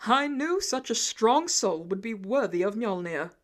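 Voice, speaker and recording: A booming, big-hearted god of thunder from a fan audiobook, acted out by a woman. He proclaims heartily and loudly, with jovial warmth. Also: sing-song, measured pace. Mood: hearty, proud.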